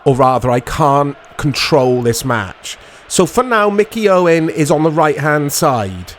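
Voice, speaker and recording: A man giving casual commentary on a football video game in short reactive fragments, his voice strained and a little hoarse. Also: on the high side, sing-song, measured pace, a little hiss or hum. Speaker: gaming channel host.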